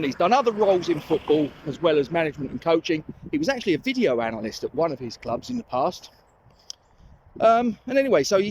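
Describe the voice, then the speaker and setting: A man's voice: a little high, background noise. A man with a London accent hosting a fan channel, talking in a rambling, self-correcting way while out walking his dog. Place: outdoors on a dog walk.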